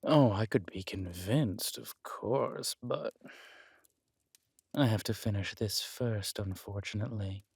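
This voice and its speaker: A man's voice: fairly low pitch, takes it slowly, creaky, darkish tone, breathy edge, no room sound, heavily acted. A man playing a scheming, flirtatious villain king in an intimate roleplay audio drama, with a languid, teasing, menacing delivery. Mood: teasing, amused.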